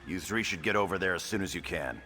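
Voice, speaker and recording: An actor playing a dutiful military captain in a fantasy game, brisk, businesslike and commanding. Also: male voice, low voice, bright, forceful tone, a little hiss or hum. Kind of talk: fantasy game dialogue acting.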